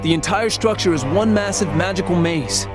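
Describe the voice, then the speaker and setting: A man voicing an eager, brisk young hero who gives scripted exposition in a video game. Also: high-pitched, lightly acted. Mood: keen, brisk. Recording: very loud game music behind.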